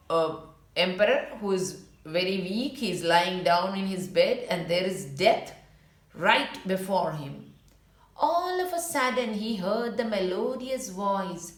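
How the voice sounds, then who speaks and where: Expressive woman reading a storybook, roomy sound. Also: medium pitch. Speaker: storyteller reading a children's picture book aloud. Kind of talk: read-aloud storytelling with asides on the pictures. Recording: roomy, echoing indoor sound.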